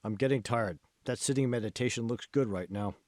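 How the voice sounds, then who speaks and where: A man reads the part of an anxious male gym novice in an audiobook story. He sounds hesitant and complaining, at a middling pitch, recorded close and dry. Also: fairly quick, darkish tone, no room sound, close to an everyday voice. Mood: weary, pleading.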